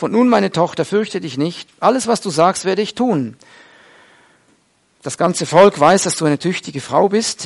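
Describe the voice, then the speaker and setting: A middle-aged man preaching a sermon, explaining a Bible passage in an earnest way with frequent hesitations. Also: fairly high pitch.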